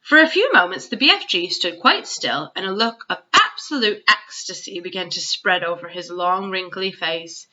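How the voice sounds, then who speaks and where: A woman reading a children's story aloud as a teacher, in an expressive narrating style. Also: lowish voice, normal speed, hardly any pauses, muffled recording.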